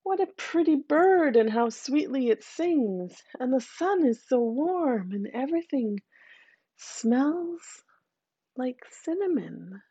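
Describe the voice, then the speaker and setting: A woman's voice: high-pitched, lively intonation, slow, mellow, slightly rough, pitched above the performer's own voice, heavily acted. A woman voicing a naive father who talks with eager wonder. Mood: dazzled. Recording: dull, muffled recording.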